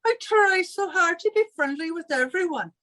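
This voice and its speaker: A woman's voice: very high voice, lively intonation, normal speed, pushed and bright, full character voice. A woman playing a huffy, vain Irish landlady in a 1940s radio comedy style, with an indignant, folksy way of talking. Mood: smug, chatty. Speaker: amateur theatre performer. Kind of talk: 1940s radio sitcom acting.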